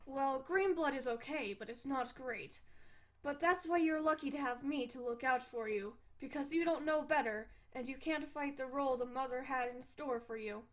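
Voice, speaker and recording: Woman playing a stuffy snob boy, condescending and formal, voice still high. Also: normal speed, natural voice. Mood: lecturing. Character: haughty stiff young male troll, snobbish.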